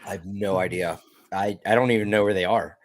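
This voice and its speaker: Low-voiced, hesitant man on a podcast. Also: fairly even intonation, a little fast. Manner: hesitant, stammering restarts. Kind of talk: casual chat.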